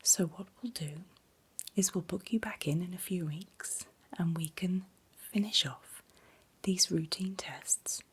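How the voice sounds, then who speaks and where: Slow, whispering woman, gentle and reassuring. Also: fairly low pitch. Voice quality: breathy whisper. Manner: gentle, reassuring, unhurried personal attention. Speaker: ASMR performer playing a reassuring doctor. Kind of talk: soft-spoken ASMR medical exam roleplay.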